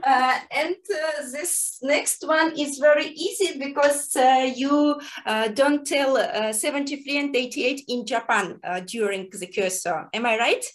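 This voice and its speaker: A woman host, not a native English speaker, talks casually in a language lesson, searching for words with frequent pauses. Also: sits high. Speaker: host learning Japanese phrases.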